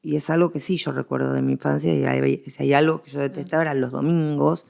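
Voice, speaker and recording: A middle-aged Argentine woman speaks in a low register with plenty of vocal fry. She lays out arguments as an interview guest, conversational yet academic. Key phrases come back repeated for emphasis. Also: steady tone, fast talker, darkish tone.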